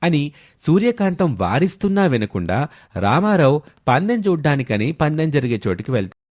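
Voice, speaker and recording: A man's voice: on the high side, big pitch swings, brisk, a little mellow. A man reading a scripted moral story as its narrator. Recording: music bed under the voice. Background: background music.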